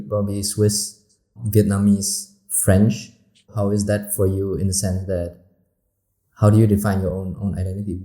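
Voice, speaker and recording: Low, mellow-voiced man hosting a podcast. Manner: friendly, many "uh" and "mm-hmm" fillers. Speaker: podcast host. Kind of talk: interview hosting.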